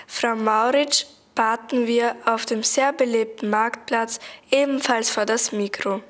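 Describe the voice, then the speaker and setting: A woman's voice: on the high side, fairly even intonation, measured pace. A teenage girl narrating a school radio report from a script. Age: teens. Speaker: student reporter.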